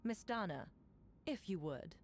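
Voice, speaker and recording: A woman's voice: medium pitch, animated, a little slow, slight background noise, natural voice. An actress playing a severe, articulate woman prosecutor in a fantasy game, formal and assertive. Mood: businesslike. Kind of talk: video game voice acting.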